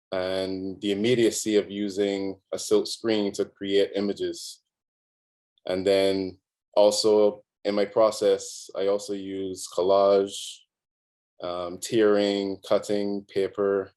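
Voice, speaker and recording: Low, flat-voiced man from Barbados. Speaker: guest artist. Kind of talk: conversational self-introduction.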